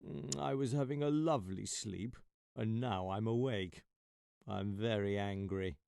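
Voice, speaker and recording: A man voicing a big, grumpy male lion who growls slowly. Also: on the low side, darkish tone, no echo, studio quality. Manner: growling, slow and gruff. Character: huge grumpy male lion, king of the jungle.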